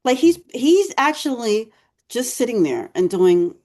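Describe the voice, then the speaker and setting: Chatty woman with a mellow voice. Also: a little high, very animated, a little slow. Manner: chatty, rambling, many fillers. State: losing her voice, clearing throat. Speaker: podcast co-host. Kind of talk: casual recap chat.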